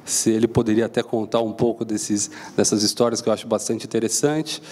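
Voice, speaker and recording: A man's voice: lowish voice, little pitch movement, fairly quick, darkish tone. A man in his twenties speaking from a lectern in an auditorium, formally welcoming the audience, thanking people and introducing a guest. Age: 20s. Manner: polite, thanks and introduces at length. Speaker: event host. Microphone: stand microphone.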